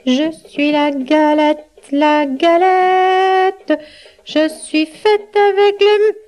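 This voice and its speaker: A woman's voice: extremely high pitch, big pitch swings, slow pace, a little mellow, voice pushed up, exaggerated voice. A woman voicing a proud, cheeky little runaway galette in a storybook, with a small, lilting, singsong voice. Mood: proud, singing. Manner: lilting, teasing.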